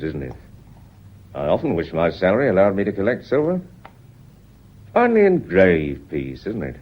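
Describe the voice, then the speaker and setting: Deep, wily police inspector. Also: male voice, husky, highs cut off, exaggerated voice. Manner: chatty, polite, deliberately disarming. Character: genial, wily male police inspector, quietly probing. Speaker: actor in a radio play. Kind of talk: radio mystery drama acting.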